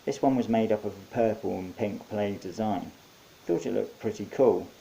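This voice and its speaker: A man's voice: lowish voice, moderate pace, gravelly, mellow, rough recording. A man narrating his own short story in a wry, conversational storytelling manner. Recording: faint background hiss.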